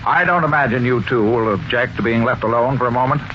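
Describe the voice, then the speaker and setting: A man's voice: medium pitch, quick pace, natural voice. An actor playing a rich, domineering businessman in his sixties, bluff and patronizing, in 1940s radio style. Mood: bluff geniality. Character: rich domineering businessman over sixty, bluffly genial host. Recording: muffled 1940s radio, faint noise.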